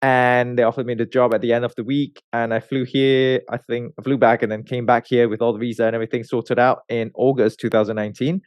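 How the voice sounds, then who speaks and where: A man in his thirties from Malaysia, a research scientist telling his career story in a relaxed way, with many fillers and restarts. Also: medium pitch, fast, few pauses, no echo. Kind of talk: conversational interview answers.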